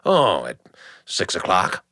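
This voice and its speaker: A man's voice: sits low, huge pitch swings, slow, sharp tone, dry recording, clean studio sound, voice pushed down, full character voice. A man voicing a sly, hungry storybook wolf who coaxes with a wheedling, falsely friendly manner. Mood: sly, inviting.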